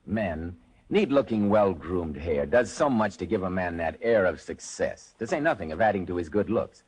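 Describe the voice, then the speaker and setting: An American man with a slightly low, husky voice works as a 1940s radio announcer. His delivery is polished and salesmanlike, with big, animated swings of emphasis. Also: normal speed. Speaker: radio announcer and program host.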